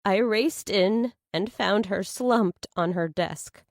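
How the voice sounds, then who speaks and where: A woman's voice: medium pitch, slow, no room sound, clean studio sound. Woman acting an insistent, guarded female lawyer. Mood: shaken, guarded. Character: evasive, insistent, businesslike female lawyer on the phone.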